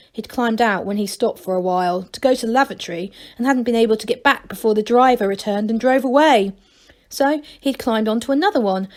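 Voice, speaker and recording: A woman with a fairly high voice reads a storybook aloud. Her narration is expressive, as for a school audience of listeners.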